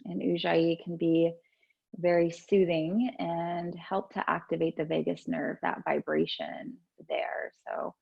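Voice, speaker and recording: Low, creaky-voiced woman guiding gentle yoga. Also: dull audio. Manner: soothing, gentle, unhurried coaching with pauses. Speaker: yoga and meditation teacher. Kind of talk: guided gentle yoga and breathing instruction.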